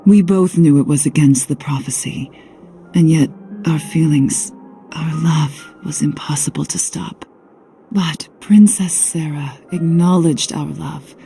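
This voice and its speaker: An actress playing a wistful, guarded witch in a video game, with a soft, measured, melancholy delivery. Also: low-pitched, gravelly, faint music behind, exaggerated voice. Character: wistful, guarded witch haunted by lost love. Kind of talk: dramatic video game voice acting.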